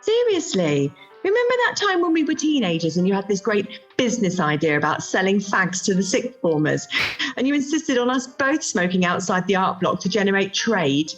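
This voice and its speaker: A woman's voice: medium pitch, very animated, normal speed, highs cut off, faint music behind, natural voice. A woman acting a frank, teasing British best friend in a radio play, dramatic and blunt, recorded over a video call. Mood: exasperated.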